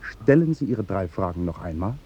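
Café waiter: dignified, earnest, hesitant, with a deep, dark voice, vintage radio play. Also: male voice, sing-song, normal speed, lo-fi sound, slight background noise. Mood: respectful. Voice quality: low, dark, husky. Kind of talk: 1950s German radio drama acting.

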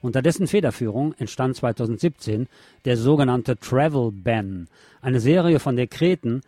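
A man's voice: mid-range voice, expressive, moderate pace, dark tone, no room sound. A man hosting a radio show, reading news articles aloud in a sardonic way with mocking asides.

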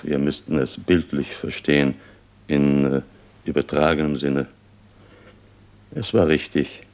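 A sombre, disillusioned man in a radio play. His voice is extremely deep, and he picks his words with resigned, thoughtful hesitation. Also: darkish tone. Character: sombre, disillusioned man quietly reflecting on Russia's fate. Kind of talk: German radio play dialogue.

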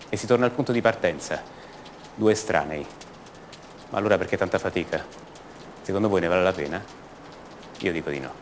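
An actor playing a bitter, restless grown son back in his family home, delivering a halting radio theatre monologue that repeats words and confides in the listeners. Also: mid-range voice, slight background noise, close to an everyday voice. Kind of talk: stage-play monologue acted for radio theatre.

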